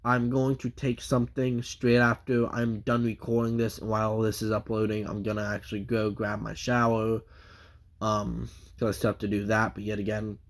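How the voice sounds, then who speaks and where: A man's voice: on the low side, completely flat delivery, normal speed. A man with a stuffy nose from a cold, giving a casual, rambling comic book review full of fillers and restarts.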